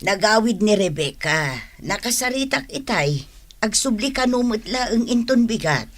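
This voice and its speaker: Practical Ilocano mother, fairly high voice. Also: expressive, unhurried. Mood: matter-of-fact. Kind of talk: Ilocano radio drama acting.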